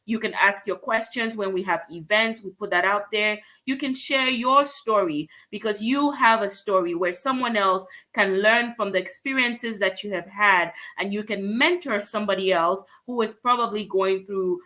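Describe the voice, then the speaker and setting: A woman's voice: medium pitch, moderate pace, hardly any pauses, bright, forceful tone. A woman from Cameroon hosting an online talk show, welcoming viewers and guests with enthusiastic, upbeat energy. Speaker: host.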